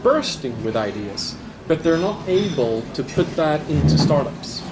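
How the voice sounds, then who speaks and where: A man's voice: fairly high pitch, big pitch swings, measured pace, heavy background noise. A man with a Swedish accent, speaking hesitantly with many restarts in a live panel discussion. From southern Sweden. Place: large public hall.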